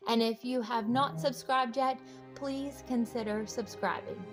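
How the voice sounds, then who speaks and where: A woman reading a children's storybook aloud in an encouraging way, pausing to ask young listeners simple questions. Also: on the high side, steady tone, music in the background. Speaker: children's book read-aloud host.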